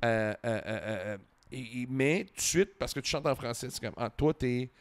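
A man in his forties with a Franco-Ontarian French accent, a podcast guest talking casually in a living room, animated and full of restarts. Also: medium pitch, normal speed. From Ontario, Canada. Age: 40s. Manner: animated, lots of restarts and swearing. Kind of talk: casual chat. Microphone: handheld mic.

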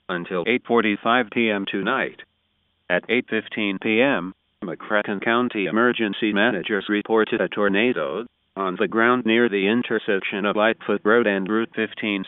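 A synthetic man's voice reading an emergency weather warning in a measured, mechanical way over narrow radio sound. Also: lowish voice, clean tone, no echo. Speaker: automated weather radio announcer.

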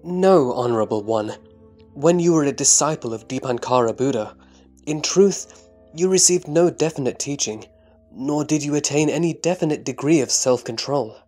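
A man playing an earnest, humble disciple in a scripture audio drama, answering in a reverent, deliberate, formal way. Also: fairly high pitch, animated, a little mellow, faint music behind. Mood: respectful answering. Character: Subhuti, earnest humble male disciple of the Buddha.

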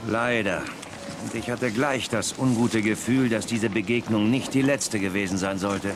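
A man acting a seasoned, fatherly sheriff in a children's Wild West radio play, measured and reassuring. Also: on the low side, background noise, lightly acted. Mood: grave, wary.

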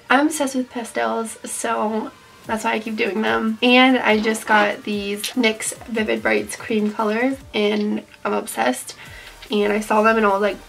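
A woman's voice: a little high, gravelly, poor audio. A young woman with a stuffy, nasal voice from a cold, casually talking through a makeup tutorial step by step. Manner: chatty, upbeat, describing each step. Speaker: beauty vlogger. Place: small indoor room with glitter backdrop.

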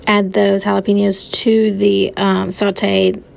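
An American woman in her thirties with heavy vocal fry narrates recipe steps. Her delivery is casual and instructional, at a medium pitch, with faint noise behind it. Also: level tone, measured pace, darkish tone. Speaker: home cook narrating a recipe video.

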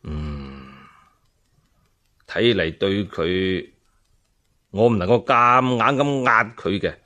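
A scheming emperor, played by a man, speaks Cantonese in a radio storytelling drama. He is calculating and outwardly polite, keeping a controlled, smooth-talking manner at a slow pace. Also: deep voice, bright, forceful tone, voice pushed down, exaggerated voice.

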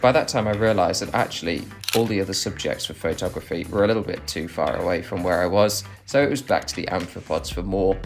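A man giving a reflective voice-over narration for a nature photography vlog. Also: deep voice.

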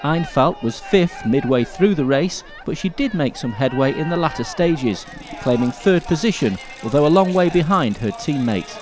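A man reading scripted sports highlights as a commentator. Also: lively intonation, moderate pace, hardly any pauses.

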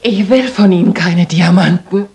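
An actress playing a proper young Victorian lady in a radio play, polite and measured, with a husky, breathy voice. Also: on the low side, mellow, a little hiss or hum. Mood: firm, indignant. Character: proper, modest young Victorian lady client, firm when pestered. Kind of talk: dramatic German radio play acting.

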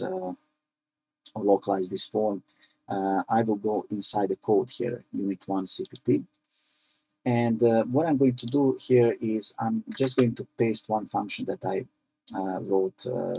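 A man with a low, rough, gravelly voice, Croatian his native tongue, talks through a screen-recorded programming lesson. He stops and restarts often, filling gaps with "uh." The tone stays patient and explanatory. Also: poor audio. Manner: halting, frequent "uh" fillers, explanatory. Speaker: programming tutorial presenter. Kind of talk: screen-recorded coding tutorial.

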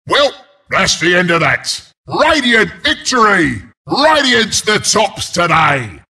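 Shouting, raspy British porcupine brute. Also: male voice, high-pitched, heavily acted. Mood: taunting, triumphant. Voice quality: gravelly, raspy. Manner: taunting, rowdy, blunt, mock-sympathetic asides. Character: mean, cocky, brawling porcupine beast announcer. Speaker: game announcer. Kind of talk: video game announcer barks.

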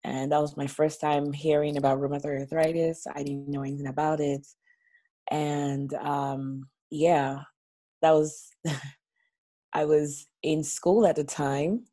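A woman in her thirties from Nigeria speaks over a laptop webcam from her living room. Her voice sits very low and warm, and she tells her story slowly and openly, chatty, with small laughs. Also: level tone. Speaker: guest. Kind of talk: casual video-call interview. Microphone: laptop webcam on a video call.

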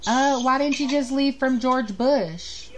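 A woman co-hosting a casual livestream chat, easygoing and quick to agree, with playful asides. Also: high voice, slight background noise. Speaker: livestream co-host.

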